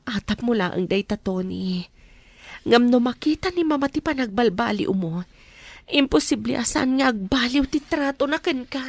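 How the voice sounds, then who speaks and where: A woman with an Ilocano accent playing a gentle, devoted young wife and mother in a radio drama. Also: on the high side, expressive, moderate pace, no room sound. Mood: pleased, reassuring.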